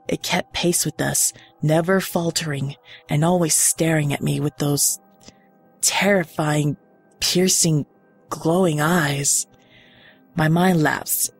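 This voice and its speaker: A woman giving a dramatic, suspenseful first-person reading of a horror story, pausing deliberately for emphasis. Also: deep voice, slightly rough. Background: background music.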